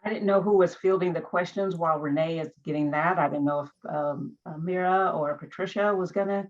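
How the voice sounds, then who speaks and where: A woman moderates an online event in a casual, tentative manner. Her voice is on the low side, and it comes through a dull, low-fidelity video call. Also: a little fast.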